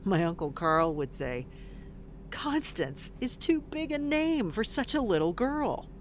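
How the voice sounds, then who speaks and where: A woman's voice: mid-range voice, big pitch swings, a little slow, slight background noise. An actress playing a blunt, weary middle-aged woman fresh out of prison in an audio drama, swearing in exasperation. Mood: bitter, reflective.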